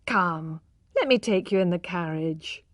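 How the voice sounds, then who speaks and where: A brash, reckless young gentleman from a storybook, put on by a woman reader. He talks loud and bossy, with a pushy, mocking edge. The sound is close and dry, with no room around it. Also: fairly low pitch, expressive, measured pace, no echo. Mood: coaxing.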